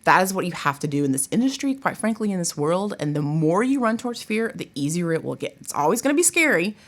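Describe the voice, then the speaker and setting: A middle-aged woman chatting casually as a podcast guest, informal, animated and given to exclamations. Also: on the low side, quick pace. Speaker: podcast guest, college theater director. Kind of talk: casual chat.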